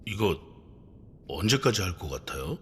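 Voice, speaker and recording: Weary anxious civilian, very low, hesitant, audio drama, reverberant, rumbling noise. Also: male voice, sing-song, normal speed. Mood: worried, hesitant. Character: weary, worried civilian questioning a police detective.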